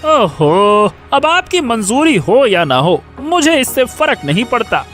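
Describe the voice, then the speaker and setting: A man playing a spoiled, menacing young bully from a rich family in a story, taunting with drawn-out words. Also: very high voice, sing-song, fairly bright tone, soft background music, heavily acted. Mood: menacing, smug. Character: menacing, mocking young village bully, rich merchant's son. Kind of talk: Hindi audio story dramatic acting.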